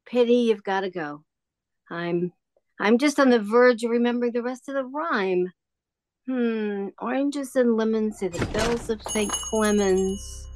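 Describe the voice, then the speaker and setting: A woman's voice: fairly high pitch, a little slow, darkish tone, no room sound, natural voice. A woman playing a kindly, rambling old shopkeeper in a radio play, hesitant and musing. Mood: wistful, chatty.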